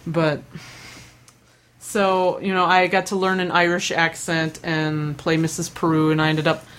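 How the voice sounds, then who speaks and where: A woman's voice: low voice, little pitch movement, unhurried, a bit bright, clear, resonant tone. A young woman rambling through casual stories, with lots of fillers, restarts and self-deprecating asides. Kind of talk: casual chat.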